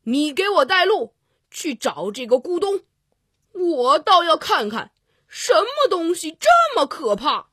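A woman's voice: high-pitched, very animated, a little slow, a bit bright, no room sound, studio quality, exaggerated voice. A woman voicing an angry, imperious lion king in a children's storybook, speaking in a commanding, demanding way. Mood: stern, commanding.

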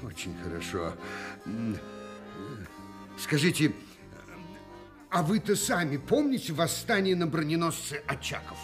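A flustered middle-aged male Soviet official in a radio drama. Delivery is rather slow with big melodic swings, full of hesitations, stammers and muttered agreement. Faint music plays behind. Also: a little high, sing-song. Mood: flattering, awkward. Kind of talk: Russian radio play acting.

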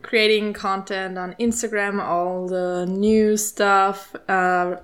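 A woman's voice: mid-range voice, flat delivery, takes it slowly. A woman in her twenties from Austria, chatting casually as a guest in an interview, with many fillers and frequent laughs. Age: 20s. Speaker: podcast co-host, guest.

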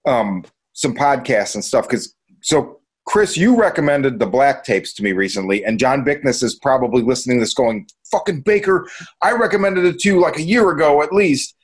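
A man with an average-pitched voice hosts a casual podcast. He talks conversationally, as in an informal chat among co-hosts. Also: lively intonation, moderate pace, husky. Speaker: podcast host.

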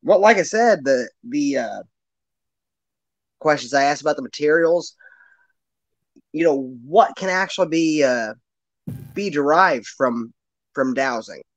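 A man's voice: a little high, lively intonation, measured pace, long pauses, no echo, dull audio. A man hosting a podcast, asking questions in a curious, hesitant way with lots of fillers. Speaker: podcast host. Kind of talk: casual interview chat.

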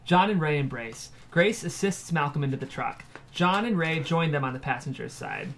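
A man narrates stage directions from a screenplay. His voice is fairly high for a man with a creaky, gravelly edge, and the reading is measured. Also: expressive, fairly bright tone.

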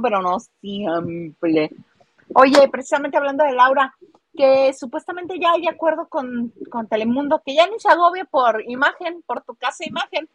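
A woman's voice: on the high side, no room sound, lo-fi sound. A woman with a Mexican accent co-hosting a casual celebrity gossip live stream, playful and teasing as she talks and reads out viewer comments.

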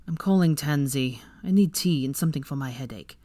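A woman's voice: deep voice, a little fast, warm tone, no echo. A woman voicing a tired, sardonic young father with a dry, grumbling manner in an audiobook. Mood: grumpy, whiny.

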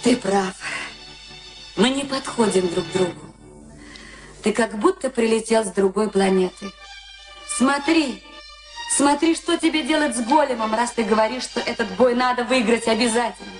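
A woman's voice: fairly high pitch, moderate pace, long pauses, close to an everyday voice. An actress playing a detached, impatient young woman, speaking with pointed reproach in a Soviet radio play. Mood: reproachful, detached. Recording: archive radio play, music behind. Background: music.

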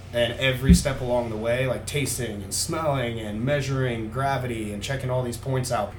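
Earnest brewer in an echoey taproom. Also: male voice, mid-range voice. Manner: earnest, laughs easily. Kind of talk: casual interview answers. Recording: echoey room with background noise.

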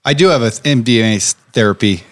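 A man's voice: mid-range voice, animated, a bit bright. A man co-hosting a casual podcast, jumping in with playful quips and stories. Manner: quick, playful interjections. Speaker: podcast co-host. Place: podcast studio.

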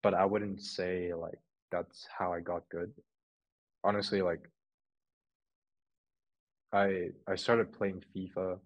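Low-voiced young man, dull call audio. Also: slow, pauses often. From Hong Kong. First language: Cantonese. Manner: relaxed, filler-heavy, laughs easily. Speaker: podcast guest. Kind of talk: casual interview chat.

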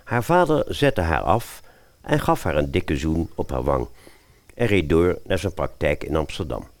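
Man, deep voice, lively swooping intonation, fairy-tale narrator, satirical, wry asides. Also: unhurried. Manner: expressive, wry asides to listener. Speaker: storyteller, narrator.

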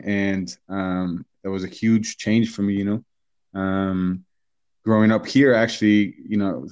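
Guest, male, late 30s, low voice, loose chatty delivery, dry muffled sound. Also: no echo. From Guatemala. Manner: relaxed, rambling, many fillers and restarts. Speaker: guest, hot sauce business owner. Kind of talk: casual interview talk.

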